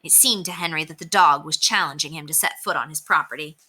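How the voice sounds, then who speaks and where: A woman, a teacher, reading a children's chapter book aloud with expressive storytelling. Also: sits low, fast talker, husky. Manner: expressive storytelling with softer narration.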